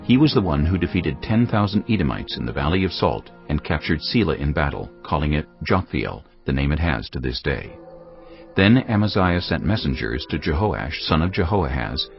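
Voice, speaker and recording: Very deep gravelly male narrator. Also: very animated, moderate pace, dull audio, faint music behind. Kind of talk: scripted Bible narration.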